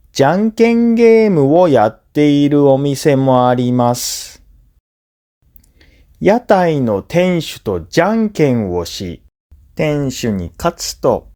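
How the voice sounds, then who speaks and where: A man reads scripted teaching material at a very slow, careful pace. His voice sits a little high and moves up and down in a lively way. He breaks sentences into short, deliberate segments so learners can follow. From Japan. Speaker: language teacher and narrator. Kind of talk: scripted listening-practice narration.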